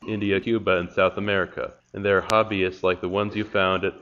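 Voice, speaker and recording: A man's voice: sits low, little pitch movement, fairly quick, fairly bright tone. A man in his fifties giving scripted interview answers in a stiff, read-out way over a lo-fi connection. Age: 50s. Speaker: interview guest, ham radio operator.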